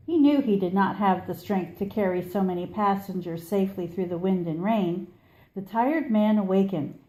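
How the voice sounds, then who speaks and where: A woman reading a children's story aloud expressively, with measured delivery and dramatic pauses. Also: mid-range voice, mellow. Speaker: storybook reader.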